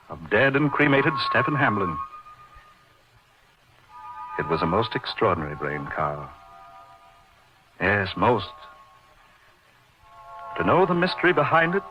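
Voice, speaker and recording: An actor playing an intense middle-aged surgeon in an old-time radio horror drama, recounting his uncanny friend with deliberate, emphatic repetition. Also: sits low, exaggerated voice. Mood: mysterious, brooding. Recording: dull lo-fi radio recording, music behind.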